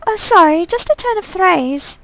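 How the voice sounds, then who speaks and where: A woman's voice: very high-pitched, lively intonation, measured pace, fairly bright tone, dry recording, voice pushed up, exaggerated voice, poor audio. A woman voicing the young heroine of a visual novel, with a questioning, wry and plaintive way of talking. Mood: playful, wry.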